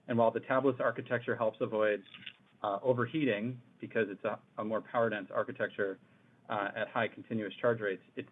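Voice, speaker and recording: A man giving technical explanations as an executive on a business call. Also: fairly low pitch. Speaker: technical executive answering questions. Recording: thin phone-line conference audio.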